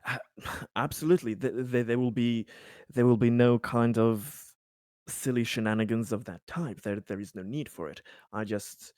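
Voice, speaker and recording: Casting: man as pragmatic male colony official for tabletop roleplay. Mid-pitched, earnest, wordy, halting and emphatic. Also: steady tone, darkish tone, no room sound, clean studio sound, close to an everyday voice. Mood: polite, earnest. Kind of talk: improvised tabletop roleplay dialogue.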